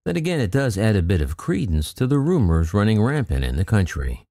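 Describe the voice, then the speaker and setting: A man's voice: fairly low pitch, big pitch swings, brisk, dark tone, no room sound, clean studio sound. A man hosting an aviation channel, reading news reports aloud in a scripted commentary and adding wry, skeptical asides. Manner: reads news quotes, then adds wry skeptical asides.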